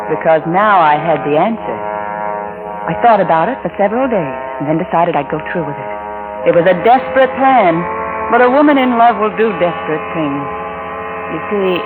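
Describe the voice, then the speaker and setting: An actress playing a scheming, adulterous wife who is coolly manipulative and falsely tender, in a 1940s radio drama style. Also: female voice, on the low side, natural voice. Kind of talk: 1940s radio drama acting with confessional narration. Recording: old lo-fi radio recording with music.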